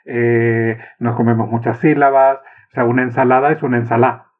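A man from Chile with a Chilean accent, hosting a casual conversation and asking curious, relaxed questions. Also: medium pitch, normal speed. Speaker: podcast host. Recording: tinny phone-like line.

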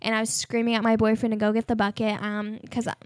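Young female co-host, twenties, frank and chatty, slightly high voice. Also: monotone, fast talker, darkish tone, no echo. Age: 20s. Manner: candid, laughing, chatty storytelling. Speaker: podcast co-host. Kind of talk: casual chat.

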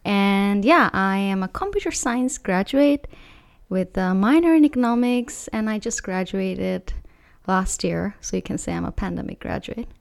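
A woman's voice: medium pitch, animated, moderate pace, creaky. Hesitant young woman from Bangladesh. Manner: hesitant, many fillers. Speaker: podcast co-host. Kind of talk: casual chat.

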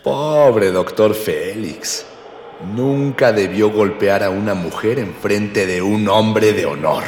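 A man's voice: on the low side, takes it slowly, a little mellow, a little hiss or hum. Pompous, reverberant serial narrator, male. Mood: mock pitying. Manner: pompous, florid. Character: grandiose male narrator of a pulp space serial. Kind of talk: scripted mock-heroic radio narration. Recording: echoey long reverb, music behind.

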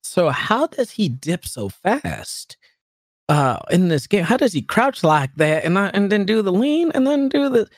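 A man's voice: high-pitched, big pitch swings, a little slow, no room sound. Chatty man in his thirties, dry sound. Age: 30s. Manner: laughing often, rambling, self-deprecating. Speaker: streamer. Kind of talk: casual chat.

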